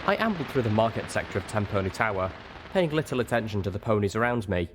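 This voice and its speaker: A man reads a first-person story as narrator. His voice sits fairly low and moves with lively, expressive intonation, in the manner of an audiobook. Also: quick pace, noisy background.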